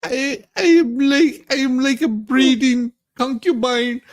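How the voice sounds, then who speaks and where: A woman's voice: high-pitched, slow pace. A woman voicing a folksy, sassy Southern courtroom witness in a theatrical, stammering comedic reading. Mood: pleading, absurd.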